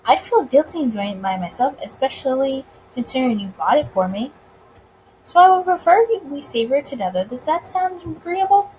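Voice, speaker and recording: A woman voicing a suave, confident gentleman bootlegger in a visual novel, with courtly, teasing phrasing. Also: high voice, expressive, fairly quick, a little hiss or hum, low-quality recording.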